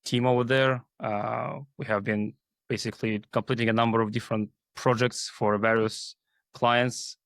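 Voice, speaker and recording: A man's voice: mid-range voice, level tone, normal speed, creaky, fairly bright tone, no room sound. Man from Kazakhstan explaining, dry close sound. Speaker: guest, AI team leader. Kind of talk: interview talk.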